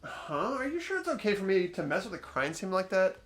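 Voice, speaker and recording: Performer as an animated, puzzled teenage schoolboy. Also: male voice, sits high, a little fast. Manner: hesitant, questioning. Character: earnest, puzzled, mild-mannered teenage schoolboy. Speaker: amateur voice actor. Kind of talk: fan voice-over of a visual novel game. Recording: game music under the voice.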